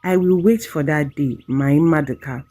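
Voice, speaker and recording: A woman storyteller voicing a kind, devoted young village woman in love, gentle and sincere. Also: deep voice, moderate pace, pitched below the performer's own voice. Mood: loving.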